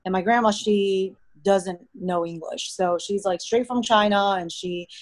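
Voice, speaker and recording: A young American woman whose first language is Mandarin, telling stories in a relaxed, chatty way as a podcast guest. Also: medium pitch, unhurried, dry recording. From United States. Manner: relaxed, chatty storytelling with frequent "like". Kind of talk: casual interview conversation.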